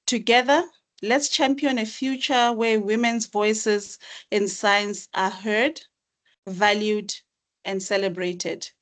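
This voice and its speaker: A woman's voice: mid-range voice, fairly even intonation, slow, fairly bright tone. A woman delivering formal, rehearsed welcome remarks at an online webinar. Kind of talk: formal read-out speech.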